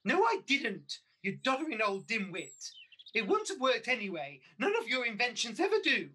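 A woman's voice: on the high side, huge pitch swings, moderate pace, pushed and bright, breathy edge, exaggerated voice. A woman playing a vain, haughty pantomime mother with an exclamatory, theatrical delivery, in a radio panto. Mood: haughty, scornful. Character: vain, haughty pantomime dame-mother, newly young and beautiful.

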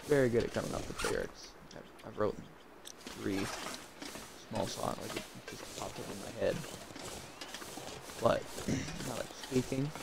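A man casually commenting while he plays a game, in a calm, fairly monotone voice that drifts into rambling asides. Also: lowish voice, unhurried, gravelly, long pauses, heavy background noise. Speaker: gameplay streamer.

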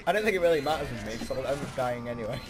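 A young British man chatting casually and excitably with a friend as he commentates on a game, often putting himself down. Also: medium pitch, rapid-fire, crowd noise. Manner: excitable, self-deprecating. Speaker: gaming video host. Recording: noisy amateur mic, game audio behind.

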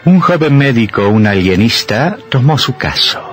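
A man narrating a radio horror drama from a script in a deliberate, theatrical, dramatic style. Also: medium pitch, big pitch swings, a bit bright. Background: music.

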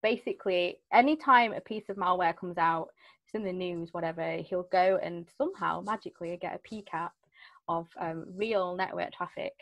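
A woman's voice: on the low side, normal speed, fairly bright tone, no room sound. A British woman in her thirties, a guest on a video call, talking in a loose, self-deprecating, jokey way. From United Kingdom. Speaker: guest, security CEO. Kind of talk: casual interview.

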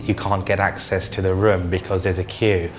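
A man's voice: low voice, flat delivery, normal speed, soft background music. A man in an unscripted interview, speaking with repetitive, hesitant phrasing. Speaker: interviewee, former patient.

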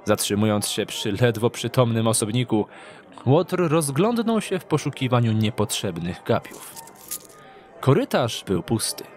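Male audio drama narrator over faint music. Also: medium pitch. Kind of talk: scripted dramatic storytelling narration. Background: background music.

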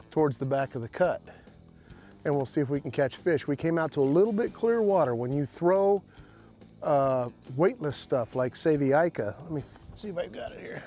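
A middle-aged man hosting a fishing show, explaining tackle in a folksy way from a bass boat on an open lake. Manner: folksy, excited when landing fish. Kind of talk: outdoor fishing instruction.

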